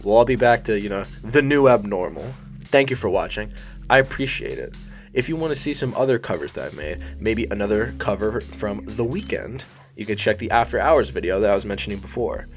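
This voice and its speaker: A man's voice: fairly low pitch, lively intonation, a little fast, soft background music. A young man with a sniffly, allergy-stuffed voice, casually talking through a design tutorial as a voice-over, rambling, self-deprecating and swearing now and then. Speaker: YouTube design tutorial host. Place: hot bedroom.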